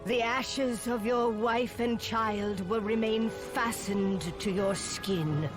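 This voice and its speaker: A woman's voice: on the high side, fairly even intonation, slow pace, music in the background. An actress playing a stern female oracle in a video game, ominous and formal, as if laying a curse. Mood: condemning.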